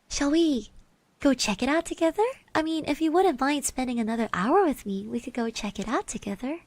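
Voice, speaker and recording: A woman playing a shy, sweet schoolgirl classmate in an ASMR roleplay, speaking softly and hesitantly to the listener. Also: high voice, moderate pace, no echo. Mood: bashful, friendly. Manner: hesitant, stammering, often dropping to soft murmurs and whispers.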